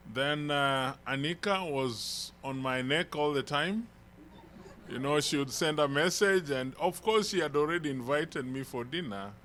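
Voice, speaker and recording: Kenyan male church elder, unhurried storyteller, bright clear tone. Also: medium pitch, slight background noise. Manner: slow, rambling storytelling with restarts. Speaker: visiting elder preacher. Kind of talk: informal sermon introduction. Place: church hall.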